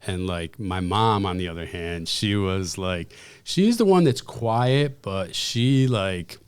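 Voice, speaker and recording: Deep-voiced guy in his 40s, chatty rambling guest, smooth clear voice. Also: takes it slowly, no echo. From United States. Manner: rambling, animated, self-deprecating. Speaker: guest, pizzeria owner. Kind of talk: casual interview storytelling.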